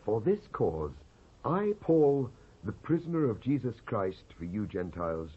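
British actor reading scripture with sweeping intonation. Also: male voice, lowish voice, sing-song, husky. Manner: solemn, declamatory, measured phrasing with pauses. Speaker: Bible reader, actor. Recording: dull lo-fi cassette transfer.

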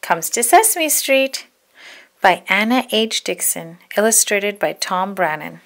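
A woman narrating a children's storybook in an expressive, deliberate storytelling manner. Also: medium pitch. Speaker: storybook read-aloud narrator.

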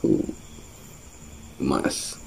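A Malaysian man in his thirties chatting casually and haltingly as an informal vlogger, often searching for words. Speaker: fishing channel vlogger. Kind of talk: casual unboxing chat. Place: small room indoors with guitars on the wall.

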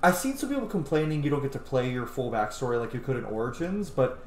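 A man's voice: mid-range voice, big pitch swings, fast, a little mellow. Man commentating on a game in a roomy space. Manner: emphatic, swears freely. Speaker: game streamer. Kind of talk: casual gameplay commentary. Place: small indoor room at home. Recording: roomy sound with music behind. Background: game music.

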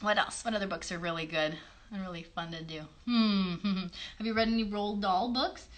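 A woman's voice: medium pitch, fairly even intonation, normal speed. A woman in her thirties chatting to children as a livestream host, explaining simply and encouragingly with lots of questions. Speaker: host and puppeteer of a children's show. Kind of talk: child-directed chat on a livestream. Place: small bedroom-like room indoors. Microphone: phone a short distance away.

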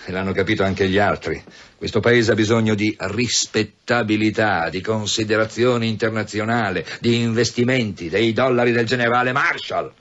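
A man acts out a condescending senior police official in a radio drama. His deep voice is pompous and mock-polite, with a veiled threat beneath it. The recording sounds slightly dull. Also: moderate pace, a bit bright, lightly acted. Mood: pompous, persuasive. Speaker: actor. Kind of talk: Italian radio drama acting.

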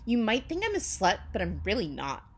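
A woman's voice: medium pitch, wildly swooping pitch, brisk. A woman voicing a melodramatic, angsty teenage goth girl, petulant and indignant, in a dramatic reading of amateur fan fiction. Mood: self-important. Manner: overwrought, indignant, emphatic.

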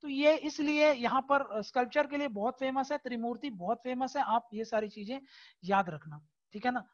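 A male teacher talks through an online lesson in a patient, explanatory way, pausing on fillers and repeatedly asking whether the point is clear. The recording sounds somewhat dull and lo-fi. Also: extremely high pitch. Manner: keeps checking understanding with tag questions; frequent hesitation fillers, explanatory and repetitive. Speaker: exam-prep teacher. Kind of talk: online lecture over a screen recording.

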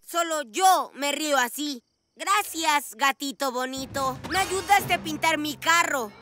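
A woman's voice: high-pitched, a little slow. A woman voicing a mischievous, bossy girl villain in a dubbed cartoon, gloating as she speaks. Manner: gloating, cackling. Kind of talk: cartoon dubbing. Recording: loud music behind voice.

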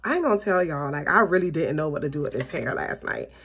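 A woman's voice: sits low, lively intonation, fast, a bit bright, a little hiss or hum. A woman in her late thirties with a Southern US accent, chatting casually to viewers while doing her makeup in a small bedroom. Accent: Southern US (Florida). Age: late 30s. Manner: chatty, rambling, laughs often, addresses viewers as you guys. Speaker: beauty vlogger. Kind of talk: casual chatty get-ready-with-me vlog. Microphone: camera in front at close range.

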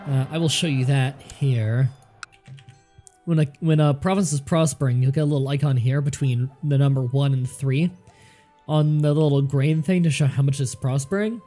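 A man's voice: mid-range voice, steady tone, normal speed, warm tone, faint music behind. A man with a nasal voice, stuffed up a little more than usual, giving casual commentary over a strategy game and chatting to its characters. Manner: chatty, thinks aloud, talks to game characters. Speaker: let's play commentator.

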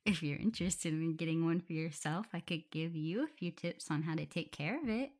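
A woman playing a gentle, playful omega werewolf in an ASMR audio roleplay, talking softly, soothingly and a little hesitantly as she looks after her partner. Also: sits low, a little fast. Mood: chatty, playful. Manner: soft, soothing, hesitant, giggly. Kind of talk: soft ASMR roleplay, intimate one-sided dialogue.

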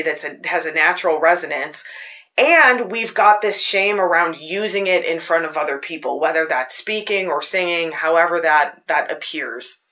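A woman with a fairly low voice hosting a podcast, telling personal stories in an earnest, searching way. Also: a bit bright. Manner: earnest, personal storytelling with frequent restarts. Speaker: podcast host. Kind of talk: casual spoken reflection. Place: Tucson.

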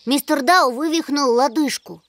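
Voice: high-pitched, normal speed, fairly bright tone, no echo. An actor voicing a cheerful, helpful little boy panda in a children's cartoon, eager and friendly. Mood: helpful, concerned. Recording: voice over prominent background music.

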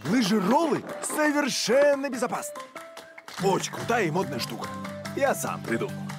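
Актёр озвучивает хвастливого, легко возбудимого пса-блогера в мультфильме, говорит восклицаниями и с модными словечками. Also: male voice, high-pitched, very animated, normal speed. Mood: showing off. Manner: exclamatory, slangy, showing off. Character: boastful excitable male dog, a wannabe blogger. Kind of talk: animated cartoon voice acting. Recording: music behind the voice.

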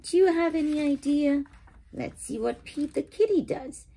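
A woman's voice: high voice, moderate pace, gravelly, mellow. A woman reading a children's picture book aloud expressively, putting questions to the listener. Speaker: read-aloud channel host.

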